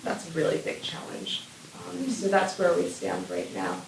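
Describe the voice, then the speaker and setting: A woman's voice: sits low, wildly swooping pitch, moderate pace, gravelly, slight background noise. A woman farmer on a discussion panel, talking casually and upbeat with plenty of fillers. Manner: chatty, upbeat, many fillers. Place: event room. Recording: roomy, distant mic.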